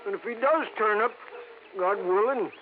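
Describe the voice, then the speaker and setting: On a noisy, tinny vintage radio recording, a male actor plays an old rural Southwestern codger. The voice is strikingly high, folksy and excitable, stumbling and repeating itself with suspicious, loyal fervor. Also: normal speed, close to an everyday voice. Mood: stubborn, loyal. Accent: rural American Southwestern. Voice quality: high-pitched character voice. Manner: repeats questions, drawling rustic stammer. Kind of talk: 1950s radio drama character acting.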